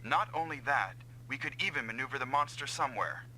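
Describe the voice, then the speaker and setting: An actor playing an earnest, self-doubting comrade pilot who is eager to help, in a dubbed anime-style video game. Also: male voice, medium pitch, animated, a little fast, bright, forceful tone, slight background noise, rough recording. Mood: purposeful.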